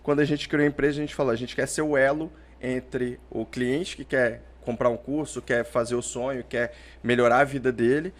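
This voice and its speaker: A man's voice: mid-range voice, level tone, moderate pace, hardly any pauses. A man, a podcast guest who answers in a chatty way, often tagging lines with "né?". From Portugal, raised in Brazil. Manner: chatty, explains with "né?" tags. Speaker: podcast guest, startup founder. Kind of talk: conversational interview answers.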